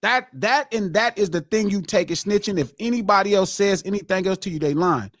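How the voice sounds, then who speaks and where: A man's voice: sits high, a little fast, fairly bright tone, no room sound, clean studio sound. A man hosting a podcast in a heated, casual debate, preaching his point emphatically and repeating himself for effect. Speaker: podcast host.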